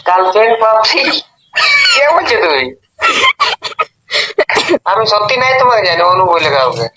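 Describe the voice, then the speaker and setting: High-pitched, baffled man on noisy call. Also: big pitch swings, moderate pace. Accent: western West Bengal, rural. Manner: baffled, exclamatory, protesting. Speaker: prank call recipient. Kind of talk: casual phone conversation.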